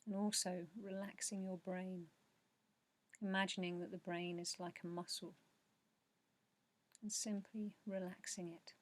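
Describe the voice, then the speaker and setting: A woman leading a guided meditation in a soft, slow, soothing way, with measured pauses and breathy phrase endings. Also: fairly low pitch, flat delivery. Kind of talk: guided meditation narration, yoga nidra.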